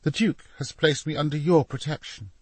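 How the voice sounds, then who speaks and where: A man's voice: a little high, steady tone, normal speed, husky, dry recording, voice pushed up. A man voicing a distraught, imploring noblewoman, a duke's mistress, in an audiobook story. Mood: haughty scolding. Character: distraught pleading mistress of a duke, woman.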